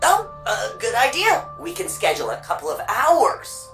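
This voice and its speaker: A woman playing a chatty, curious and warm archivist in a sci-fi video game, with an informal, playful, flirty way of talking. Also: a little high, huge pitch swings, normal speed, vocal fry, soft background music, heavily acted. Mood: excited, curious. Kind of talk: naturalistic video game dialogue.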